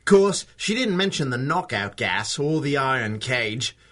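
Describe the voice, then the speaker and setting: A man's voice: medium pitch, very animated, unhurried, fairly bright tone. An actor playing a cocky, glib male stranger from another world in a 1930s-style radio drama, teasing and sarcastic. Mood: wry. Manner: wisecracking, breezy and sarcastic.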